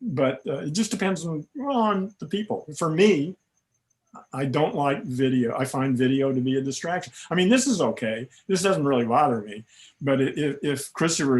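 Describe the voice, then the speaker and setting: A man who hosts a podcast, chatting casually on a video call, laughing often and filling in with quick yeahs. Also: mid-range voice. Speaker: podcast host.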